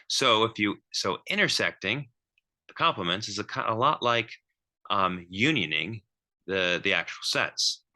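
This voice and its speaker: Male math lecturer, low and very bright voice, explanatory, halting with ums and restarts. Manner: thinking aloud with many ums and restarts.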